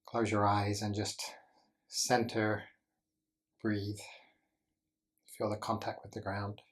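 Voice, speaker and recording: A man with a low-pitched voice guides a meditation. His intonation stays flat and steady throughout. He gives gentle instructions as a teacher would, pausing deliberately between short phrases.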